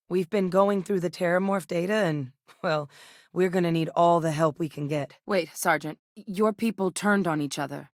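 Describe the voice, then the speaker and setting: An actress playing a composed, earnest, duty-minded woman scientist in a space video game, speaking in a measured, articulate way. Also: on the low side, dry recording, studio quality, natural voice. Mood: composed, businesslike. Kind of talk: scripted game character dialogue.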